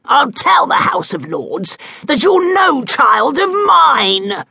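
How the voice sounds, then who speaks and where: A woman's voice: very high-pitched, huge pitch swings, extremely slow, gravelly, no echo, studio quality, pitched above the performer's own voice, heavily acted. A woman voicing a blustering, hot-tempered older male duke who bellows his lines in an audiobook. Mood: furious. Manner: bellowing, shouting. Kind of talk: audiobook character voice.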